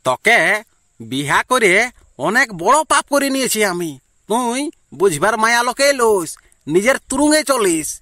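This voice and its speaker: A man's voice: sits high, big pitch swings, normal speed, bright, forceful tone, no echo, studio quality, heavily acted. A man with a Purulia Bengali accent voicing a put-upon village husband in an amateur cartoon, complaining and scolding. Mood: bitter, scolding.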